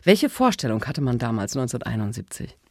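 A woman's voice: very deep voice, big pitch swings, fast talker, a little mellow, dry recording. A woman works as a radio interviewer, putting questions to her guest. Her voice sits close and dry, as in a studio.

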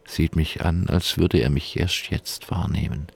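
A man's voice: extremely low pitch, fairly even intonation, unhurried, vocal fry, husky, heavily acted. An actor playing a man's clinical inner observations of body language in a radio drama, terse and analytical. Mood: analytical, detached.

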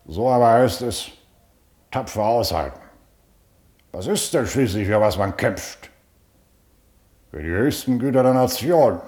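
A pompous village magistrate. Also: male voice, fairly low pitch, lively intonation, measured pace, pauses often. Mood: self-pitying. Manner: pompous, bullying, shouts. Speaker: official chairing a hearing.

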